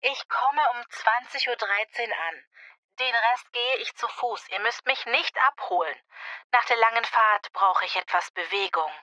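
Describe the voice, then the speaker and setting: A woman's voice: on the high side, unhurried, sharp tone, dry recording, poor audio. A woman playing a mildly impatient but affectionate grown daughter in an acted language-learning dialogue.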